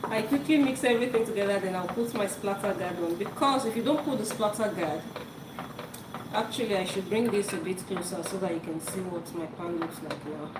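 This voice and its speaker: A woman's voice: mid-range voice, a little fast. A woman whose first language is Yoruba, hosting a live cooking stream and talking casually while she cooks in her home kitchen. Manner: chatty, repeats words, talks while cooking. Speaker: cooking show host. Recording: echoey kitchen with loud noise.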